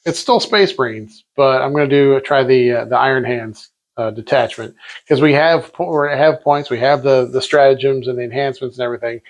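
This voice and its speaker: A man's voice: mid-range voice, brisk, rough recording. A man co-hosting a casual post-game chat, easygoing and agreeable, often answering in short replies. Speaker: co-host.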